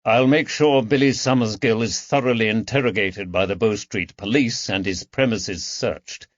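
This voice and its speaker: A man voicing a composed, methodical police detective with a measured, authoritative manner in an audiobook. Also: on the low side, no room sound, muffled recording, close to an everyday voice. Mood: businesslike. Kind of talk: audiobook character dialogue.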